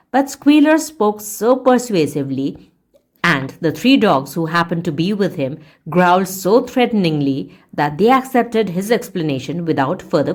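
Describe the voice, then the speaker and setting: A woman reading a story aloud as a teacher would, in an expressive, deliberate and emphatic read-along style. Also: lowish voice. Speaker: audiobook reader and English teacher.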